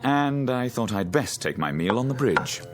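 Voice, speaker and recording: An actor in a radio drama playing a courteous, authoritative male ship's captain with a measured, reassuring manner. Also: mid-range voice, very animated, over music, close to an everyday voice. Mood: courteous, apologetic.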